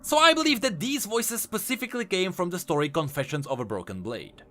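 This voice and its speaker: A man's voice: high voice, expressive, a little fast, pushed and bright. A man giving enthusiastic, emphatic scripted commentary as the host of a video game lore channel. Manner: emphatic, explanatory. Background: music.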